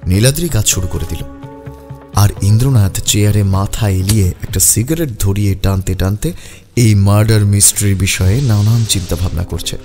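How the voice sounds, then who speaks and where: A man's voice: fairly low pitch, moderate pace. A man reading scripted narration for a detective audio story. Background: music.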